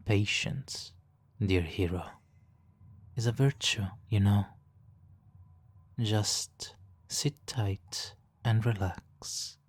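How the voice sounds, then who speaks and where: A man playing a suave, teasing, hypnotically controlling supervillain in a soft, intimate ASMR roleplay, condescending and purring, breathy in places. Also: lowish voice. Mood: smug, teasing. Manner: condescending, purring, drawn-out pauses, soft chuckles.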